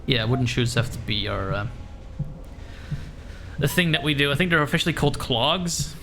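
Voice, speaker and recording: A man's voice: medium pitch, expressive, normal speed. Chatty Dutch man streaming a game. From Netherlands. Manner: chatty, laughs often, filler-heavy. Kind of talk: casual live-stream chat while gaming. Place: echoey room. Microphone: desk microphone. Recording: room echo with game music behind.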